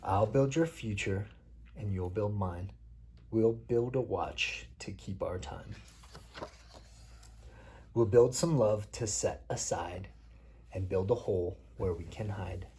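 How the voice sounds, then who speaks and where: A man reading picture books aloud as a father at bedtime, playfully pausing to chat with his child. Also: on the low side, mellow, husky. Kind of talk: read-aloud picture book storytelling mixed with casual chat.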